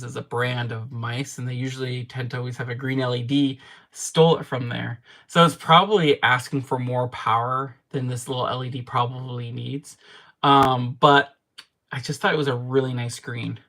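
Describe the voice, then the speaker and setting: A man's voice: medium pitch, fairly even intonation, brisk, bright, forceful tone. A man in casual livestream chat from his game room, answering viewer comments in a rambling way and laughing at himself often. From Canada. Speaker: streamer.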